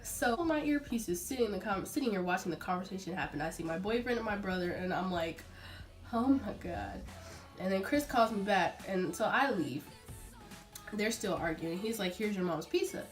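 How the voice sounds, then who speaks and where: A woman's voice: medium pitch, animated, fairly quick, soft background music. A young adult woman telling a personal story casually and dramatically, like a vlogger, acting out what people said. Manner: chatty, dramatic, acts out quotes. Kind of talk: casual animated storytelling to camera.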